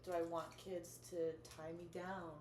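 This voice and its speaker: A young woman as a podcast guest, giggly and relaxed, talking in halting phrases. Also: fairly low pitch. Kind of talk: casual chat. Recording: echoey room with faint noise.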